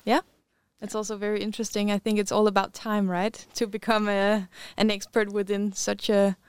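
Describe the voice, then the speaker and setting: Woman, podcast host, upbeat, chatty, smooth voice, dry close sound. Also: mid-range voice, little pitch movement, moderate pace, no room sound. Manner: upbeat, with frequent laughs and "uh" fillers. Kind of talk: hosting a podcast interview.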